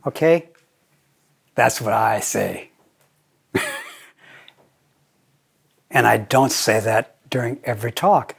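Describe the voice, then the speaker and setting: An older American man giving a Zen dharma talk, playful and deliberate, often slowing down and repeating his phrases. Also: medium pitch, creaky, breathy edge. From United States. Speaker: Zen teacher.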